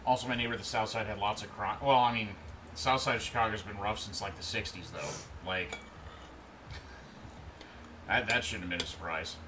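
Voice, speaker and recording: A man in his thirties hosting a livestream in a relaxed, rambling way, chatting and reading out viewer comments. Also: lowish voice, moderate pace, pauses often. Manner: relaxed, rambling, answers chat as he goes. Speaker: livestream host. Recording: steady background noise.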